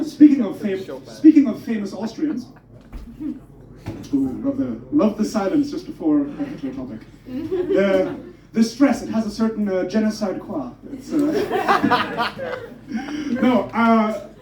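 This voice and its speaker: A man from Germany doing stand-up, with a high, sing-song voice that swoops all over. His tone is mellow rather than bright, and he swears freely and pokes fun at himself. The sound is roomy, and the mic sits at some distance. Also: slow, long pauses. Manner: energetic, swearing, self-mocking. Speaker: stand-up comedian. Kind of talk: stand-up comedy set. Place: comedy club stage.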